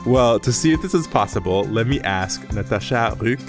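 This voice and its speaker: An American man hosting a podcast in easy casual chat, upbeat and quick to laugh. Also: medium pitch, animated, over music. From United States. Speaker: podcast host.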